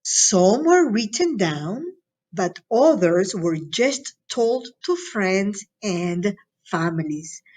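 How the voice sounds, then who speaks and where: A woman's voice: mid-range voice, a little mellow. A woman from Latin America teaching a recorded lesson to students in an encouraging, instructional way, often checking in with them.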